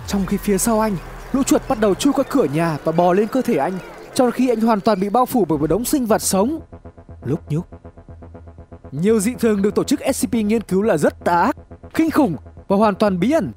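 Male narrator, high voice, lively swinging intonation, dramatic suspenseful horror storytelling, music underneath. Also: moderate pace. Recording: music behind the voice. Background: background music.

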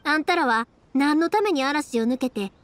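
An actress playing a cheerful, kind young fireworks maker in an anime game, speaking with a Kansai accent in a friendly, chatty, expressive way. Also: female voice, high voice, normal speed. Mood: chatty, curious. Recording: studio voice over game music.

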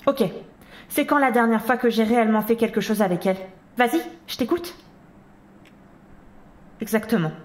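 A woman's voice: on the high side, moderate pace, pauses often, natural voice. An actress playing an earnest, troubled mother who doubts herself, serious and hesitant, in an audio drama. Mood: sad, self-reproaching. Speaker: voice actor in a fan audio drama. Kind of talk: French audio drama acting. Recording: roomy sound with music behind.

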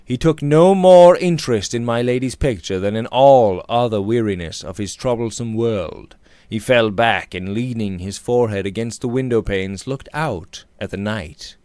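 A man in his thirties narrating a Victorian novel theatrically, with measured, emphatic phrasing, close to the microphone in a small room. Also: medium pitch, mellow, smooth, no room sound. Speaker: audiobook narrator. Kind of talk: dramatic reading of a Victorian novel. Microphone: studio condenser microphone on a boom arm, close up.

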